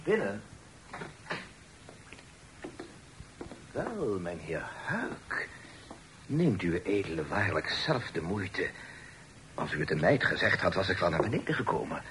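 A man's voice: low voice, very animated, normal speed. A man playing a humble, impoverished poet in a period radio play, obsequious, fussy and apologetic. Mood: flustered, humble. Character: poor, humble, fussily courteous male poet in garret lodgings. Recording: noisy old radio play tape.

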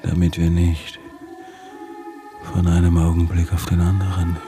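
A weary, obsessive husband in a radio drama monologue. His voice sits very low and moves slowly, in deliberate broken phrases of desperation, with faint music beneath. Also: completely flat delivery, mellow. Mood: weary, bleak. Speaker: actor narrating as lead character.

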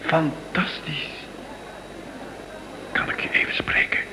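A man's voice: slow pace, noisy background, lightly acted. An actor playing an anxious, devoted male museum curator in a radio play, emotive and exclamatory. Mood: astonished.

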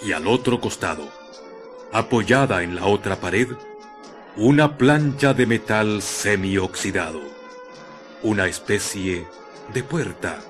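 Music plays clearly behind a man narrating a radio drama. His voice is on the low side. He delivers literary, scripted prose in a measured storytelling manner. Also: animated.

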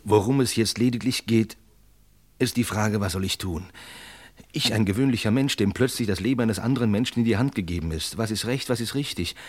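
Male actor as torn ordinary man, husky, slightly low-pitched, hesitant, agitated, old radio crime play. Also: natural voice. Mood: anguished. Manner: hesitant, anguished, halting. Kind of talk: vintage German radio crime play acting.

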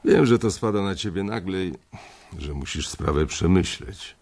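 Gravelly, very deep-voiced older bank boss. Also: male voice, animated, moderate pace, darkish tone, heavily acted. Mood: persuasive. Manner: curt, commanding, coarse. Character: older male bank boss, blunt, domineering and crude. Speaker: head of a bank trading floor. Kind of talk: radio drama acting.

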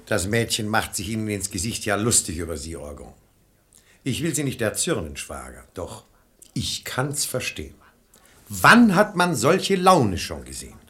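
An actor playing a reasonable, ironic middle-aged brother-in-law in a German verse radio drama, eloquent and argumentative. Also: male voice, fairly low pitch, very animated, moderate pace, a bit bright. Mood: ironic disbelief. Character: sensible, ironic brother-in-law reasoning against false piety.

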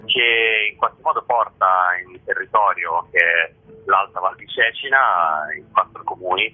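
Low-voiced man on a muffled line. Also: a little slow. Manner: hesitant, with frequent filler words and repetitions. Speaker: festival artistic director, interview guest. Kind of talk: spoken interview, explaining an event. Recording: muffled narrow-band radio phone line.

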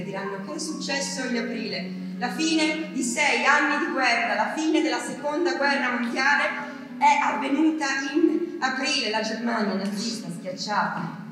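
An anguished wife in wartime , played by a stage actress, speaks her diary as an intense theatrical monologue. Her delivery is halting and heavy with grief. The voice sits at a distance in a very reverberant hall, with clear music behind it. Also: fairly high pitch, close to an everyday voice. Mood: bitter, urgent. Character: anguished French wife awaiting her deported husband in wartime.

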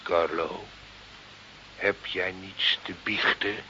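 A man's voice: low voice, steady tone, slow, pushed and bright, heavily acted. An actor playing a fatherly, pious village priest in a radio drama, with a solemn, unctuous way of speaking. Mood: solemn, probing. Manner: unctuous, solemn, hesitant when surprised. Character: pious Italian village priest, fatherly, grateful, gently scheming. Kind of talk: Dutch radio drama acting. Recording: old hissy, muffled radio recording.

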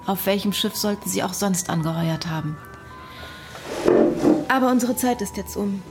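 A woman plays a composed psychotherapist in a radio play. Her voice is medium in pitch, measured and quietly sceptical, with loud music behind it. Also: natural voice. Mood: probing, sceptical. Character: Doctor Adler, composed, probing female psychotherapist. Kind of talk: German radio drama acting.

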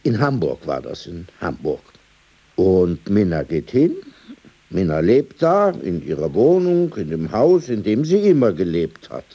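An older gentleman in a radio drama, formally polite and a little pedantic. His low, husky voice swings widely in pitch. He talks in short, halting phrases and presses each point insistently. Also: big pitch swings, highs cut off, full character voice. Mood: grave, emphatic. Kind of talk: radio play dialogue and storytelling.

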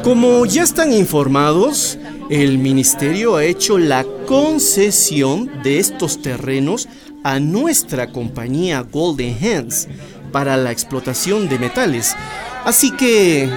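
Petulant corporate villain, a condescending mining boss, animated delivery, music bed. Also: male voice, fairly high pitch, takes it slowly. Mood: smug. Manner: smug, condescending. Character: petulant scheming male mining company manager.

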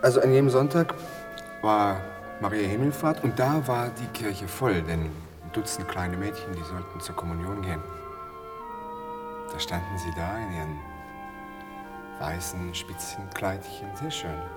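An actor in a radio play playing a wry, morbid man who tells grisly tales in a halting, rambling way. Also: low-pitched, long reverb tail, distant mic, loud music behind the voice. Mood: sly, hushed. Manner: halting, rambling storytelling with pauses and dry asides.

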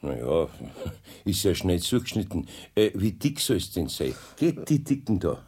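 An actor playing a kindly, easily flustered older craftsman with a Bavarian accent, husky and hesitant, in a children's radio play. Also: low-pitched, very animated, measured pace, a little mellow, full character voice. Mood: obliging. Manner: hesitant, stammering.

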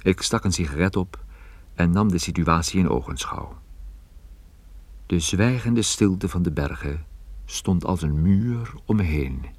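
An actor playing a private detective who recounts his case in a measured, observant way, as first-person radio play narration. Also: low-pitched, darkish tone, no room sound, exaggerated voice. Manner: measured, descriptive storytelling.

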